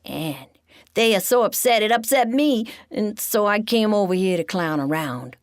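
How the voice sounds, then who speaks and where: A woman voicing Joey, a showy, fun-loving young male pack rat who calls out in a loud, sing-song showman style. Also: medium pitch, animated, moderate pace, no echo, clean studio sound, voice pushed up, lightly acted. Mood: excited.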